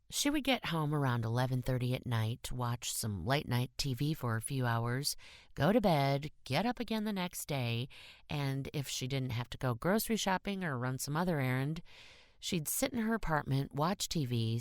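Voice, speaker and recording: Expressive low-voiced woman narrating an audiobook. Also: no echo, clean studio sound. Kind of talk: scripted short story narration.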